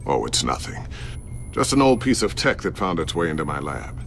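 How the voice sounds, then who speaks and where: A middle-aged tech expert in a video game, fatherly and dry. His gravelly voice moves up and down in an animated way, staying thoughtful and protective. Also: low voice, normal speed, a little hiss or hum, full character voice. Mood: wry, protective.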